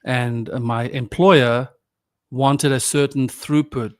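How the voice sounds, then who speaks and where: A man's voice: medium pitch, fairly even intonation. A man from South Africa, native Afrikaans speaker, in his forties or fifties, a podcast guest talking casually and reflectively with many restarts. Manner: reflective, halting with frequent restarts. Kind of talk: casual conversation.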